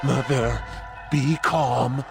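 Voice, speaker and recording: An actor playing a calm servant in a fantasy game, placating a mad mistress in a measured way. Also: male voice, medium pitch, vocal fry, music in the background. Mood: soothing.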